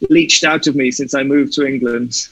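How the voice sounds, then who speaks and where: A man's voice: mid-range voice, fairly even intonation, normal speed, a loud crowd. A man with a light Welsh accent reads a poem and then speaks about it in an earnest, reflective way over a video call. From Wales. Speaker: guest. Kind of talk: poetry reading and conversational interview. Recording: slightly muffled dry online call audio.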